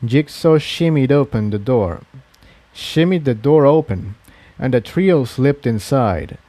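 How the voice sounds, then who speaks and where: A man's voice: medium pitch, expressive, takes it slowly, dark tone, dry recording. A man reading a fantasy adventure story aloud as its narrator. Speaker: fan fiction narrator.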